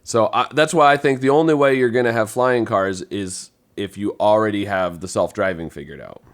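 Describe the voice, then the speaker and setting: Easygoing man with a lowish voice. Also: moderate pace. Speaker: podcast panelist. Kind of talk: casual podcast chat.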